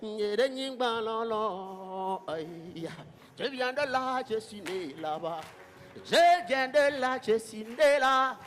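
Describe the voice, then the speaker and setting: A man's voice: sits high, takes it slowly, a bit bright. A man giving a spoken-word performance with rhythmic, chanted repetition.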